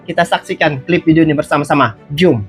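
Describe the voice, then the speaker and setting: A woman's voice: sits low, brisk. Woman with a Sabah Malaysian accent, music behind. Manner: conversational, addresses viewers directly. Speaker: reaction channel host. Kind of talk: casual reaction commentary.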